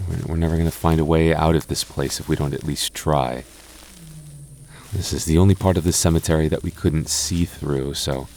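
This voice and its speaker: A man's voice: extremely low pitch, gravelly, darkish tone, slight background noise. A young man playing an anxious, easily spooked but protective goth boyfriend in a roleplay audio drama, with a hesitant, stammering, sighing delivery.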